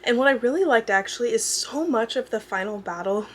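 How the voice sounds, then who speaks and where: A young adult woman with a hoarse, fading voice, chatting casually about what she reads, rambling with fillers and self-corrections. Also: a little high, lively intonation, fairly quick, slight background noise. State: hoarse, losing her voice. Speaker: book vlogger. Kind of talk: casual chat. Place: at home with a dog nearby. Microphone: camera mic.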